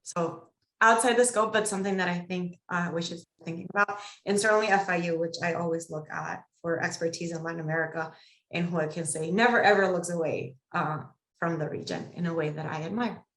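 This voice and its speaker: Brief: lower-pitched woman, academic panelist, measured and analytical, echoey room. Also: steady tone, fairly bright tone. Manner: measured, analytical, thinks aloud.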